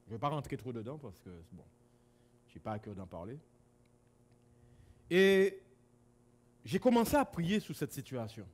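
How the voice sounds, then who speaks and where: Animated preacher from Guadeloupe. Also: male voice, normal speed, long pauses. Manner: swings from loud exclamations to low asides, repeats words. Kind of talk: sermon. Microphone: camera-recorded.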